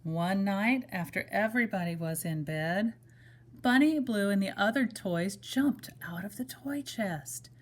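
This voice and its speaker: A woman's voice: medium pitch, unhurried. Woman reading a children's story expressively. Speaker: storybook reader. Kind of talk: children's story read-aloud with brief asides to listeners.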